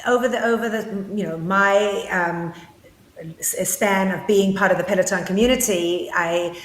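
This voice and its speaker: A woman's voice: mid-range voice, fairly even intonation, moderate pace. South African woman, co-host, eager and chatty with lots of fillers, echoey room sound. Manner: enthusiastic, frequent fillers and restarts. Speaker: podcast co-host and interviewer. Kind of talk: casual chat.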